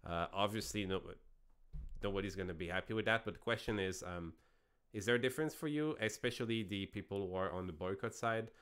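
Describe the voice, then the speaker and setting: A man's voice: lowish voice, fairly quick, a bit bright, smooth. A man with a French Canadian accent hosting a casual online debate show, rambling with frequent restarts.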